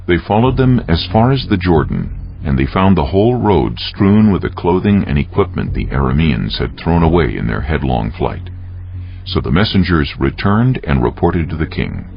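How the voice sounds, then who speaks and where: Male narrator, dramatic scripture reading. Extremely low, animated delivery, slightly dull recording. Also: moderate pace, vocal fry. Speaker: narrator of a dramatized Bible audiobook. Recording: dull, muffled audio.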